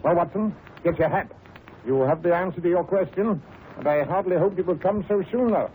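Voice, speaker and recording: A man acts a brisk, self-assured British detective in a 1930s radio play. His voice is mellow and a touch high, his delivery clipped and wry. A faint hiss lies over the old recording. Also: close to an everyday voice, poor audio. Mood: amused, brisk. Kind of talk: 1930s radio drama acting.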